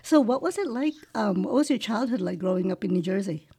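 A woman's voice: medium pitch, lively intonation, fairly quick, warm tone. A woman hosting an interview show, reading a prepared introduction and then asking questions. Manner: reads intro smoothly, then short friendly prompts. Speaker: podcast host.

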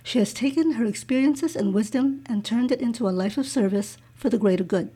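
A woman hosting an interview show, reading out a guest introduction and then asking soft, hesitant questions. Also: a little high, fairly quick, husky. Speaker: podcast host.

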